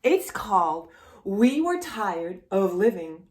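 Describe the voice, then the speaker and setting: A woman hosting a read-aloud storytime for young children, speaking in an animated way as if straight to the child listening. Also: mid-range voice, slow. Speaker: children's storytime host reading a picture book aloud.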